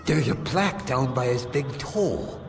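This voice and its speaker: A man's voice: medium pitch, expressive, measured pace, lightly acted. A man playing a small, dim, literal-minded and clumsy sidekick in a comic radio play, with a naive, innocent manner. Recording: long echoing reverb, music behind.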